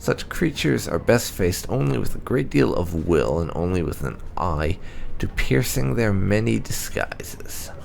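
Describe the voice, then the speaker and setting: A man with a deep voice reads video game lore aloud in a measured way. His tone is gravelly with plenty of fry, and his pitch moves expressively as he narrates. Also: expressive, a little mellow, a little hiss or hum. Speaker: video game playthrough host.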